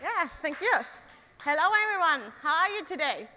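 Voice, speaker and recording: A young woman with a German accent gives a friendly talk to a live audience, and she often stops to ask them questions. Her voice is high and bright, with frequent ums. A long hall reverb trails behind her words. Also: sing-song, moderate pace, a little hiss or hum. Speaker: engineer giving a talk. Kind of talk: presentation to an audience.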